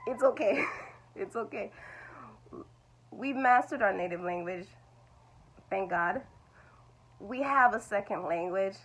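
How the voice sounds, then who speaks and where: A woman's voice: mid-range voice, takes it slowly, pauses often, fairly bright tone. A woman, teaching language learners in a reassuring, repetitive way. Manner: reassuring, repeats "it's okay". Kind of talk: talking-head video lesson.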